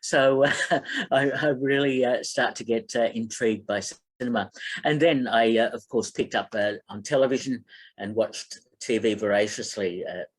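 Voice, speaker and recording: A man's voice: medium pitch, moderate pace, breathy edge. An older Australian man, German his first language, giving an online talk about his life in film, rambling with many fillers and restarts. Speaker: film critic and speaker.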